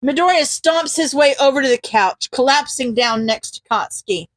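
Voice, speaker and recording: A woman reading fan fiction aloud as an expressive story narrator. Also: sits high, normal speed, no echo. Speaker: podfic narrator.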